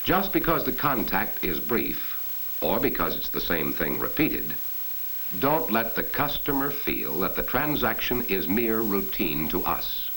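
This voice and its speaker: A man narrates a 1940s corporate training film in a low, expressive voice. He is earnest and instructive, speaking straight to the viewer. The old soundtrack carries a faint hiss. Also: a little slow, poor audio.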